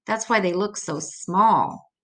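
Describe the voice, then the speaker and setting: A woman's voice: mid-range voice, takes it slowly, fairly bright tone. An older woman reading an educational children's book aloud, adding simple, patient explanations as she goes.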